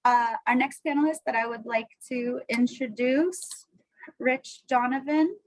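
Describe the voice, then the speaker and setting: Woman moderator, slow, upbeat, dry sound. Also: fairly high pitch, dry recording, low-quality recording. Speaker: panel moderator. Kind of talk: video call panel moderation. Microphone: video call.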